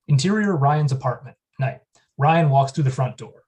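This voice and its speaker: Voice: mid-range voice, animated, normal speed, darkish tone. Neutral reader of stage directions. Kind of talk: reading scene directions.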